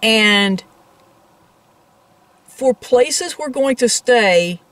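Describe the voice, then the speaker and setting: A woman's voice: on the high side, lively intonation, very slow, fairly bright tone, no echo, recorded from a distance. Woman chatting casually, slightly off-mic. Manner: conversational, addresses viewers as folks. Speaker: travel vlog co-host. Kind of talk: casual explanatory chat to camera.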